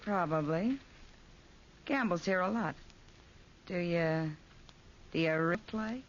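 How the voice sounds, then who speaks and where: A worldly young temptress in an old radio play. She is slangy, tough and coy, with lively swings of pitch on a muffled recording. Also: slow, slight background noise. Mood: sly, inviting. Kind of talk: 1940s radio drama acting.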